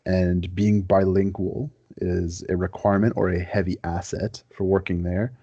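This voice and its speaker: A young man from Quebec, a native French speaker, speaks in a very low, mellow voice. He talks earnestly and easily, repeating words and filling pauses as a podcast guest. The sound is dry and close, with no room around it. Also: slightly rough, dry recording, muffled recording. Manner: earnest, relaxed, with many fillers and repeated words. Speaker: guest historian. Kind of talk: casual podcast interview.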